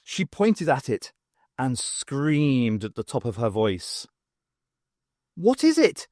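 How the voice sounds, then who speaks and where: A man narrates a novel in an unhurried, measured way, with expressive turns. He sits close to the mic in a dead, dry space. His voice is mid-pitched and steady. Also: dry recording. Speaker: audiobook reader. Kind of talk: fan audiobook narration.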